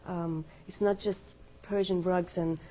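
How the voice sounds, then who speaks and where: A woman's voice: lowish voice, steady tone, unhurried, dark tone, a little hiss or hum. A woman from Iran, a filmmaker guest on a talk show, speaking earnestly and with emphasis. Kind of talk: casual interview talk.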